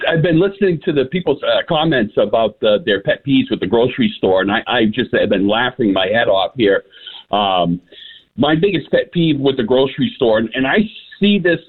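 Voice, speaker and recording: A man's voice: mid-range voice, husky. A man, calling in to a radio show and telling opinionated stories in a wry way. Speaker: radio caller. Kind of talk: call-in radio chat. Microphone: phone. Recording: tinny phone line.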